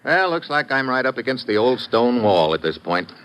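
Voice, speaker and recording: An actor playing a tough, wry American secret agent, clipped and hard-boiled, in an old radio detective drama. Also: male voice, mid-range voice, big pitch swings, normal speed, a bit bright, slight background noise. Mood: pondering. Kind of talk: 1950s radio drama acting and noir narration. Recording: dull old radio recording.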